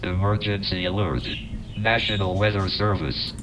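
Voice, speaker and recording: Low synthetic male alert voice, very noisy. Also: moderate pace. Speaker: automated emergency alert voice. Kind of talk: text-to-speech public warning announcement. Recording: very noisy played-back alert clip.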